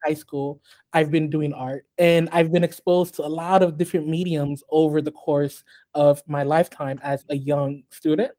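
A man born in Haiti and raised in the US, talking as a guest on a podcast interview in a measured way, with many ums and restarts. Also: fairly high pitch, flat delivery, mellow. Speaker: guest artist.

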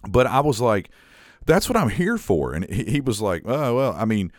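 A man's voice: mid-range voice, very animated, moderate pace, no room sound, clean studio sound. A man co-hosting a podcast, telling a long story in casual chat and acting out what others said, swearing now and then. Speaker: podcast co-host.

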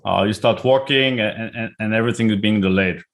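A middle-aged man with an Israeli accent speaks in a lowish voice. He talks casually and at length as an interview guest, often stumbling over repeated words at the start of a thought. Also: fairly quick. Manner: repeats words when starting, chatty and relaxed. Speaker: guest entrepreneur.

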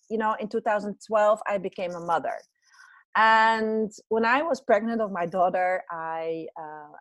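A woman's voice: fairly low pitch, no echo. A woman in her forties with a Dutch accent, chatting as a podcast guest with many fillers and restarts. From Netherlands. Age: 40s. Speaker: podcast guest, business coach. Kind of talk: casual interview chat.

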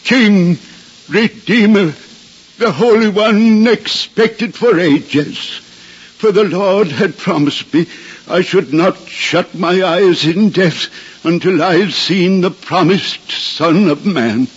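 A man's voice: sits high, takes it slowly, slightly rough, full character voice. An actor playing an aged, devout male prophet with solemn, declamatory delivery in a 1950s radio drama. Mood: reverent, joyful. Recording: dull 1950s radio recording, music behind.

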